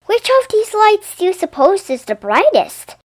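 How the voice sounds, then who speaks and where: A woman voicing an excitable, curious and affectionate little girl in a storybook reading. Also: very high-pitched, measured pace, voice pushed up, exaggerated voice.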